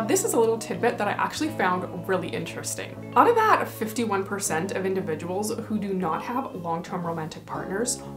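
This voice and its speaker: A young woman from Canada talking casually to camera as a vlogger, chatty and opinionated as she explains numbers. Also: medium pitch.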